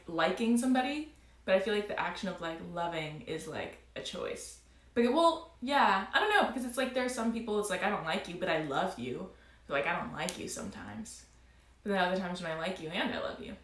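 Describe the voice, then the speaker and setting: A woman hosting a casual live chat, talking in a quick, agreeable way. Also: mid-range voice, lively intonation, fairly bright tone, echoey room. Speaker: host of a live music show.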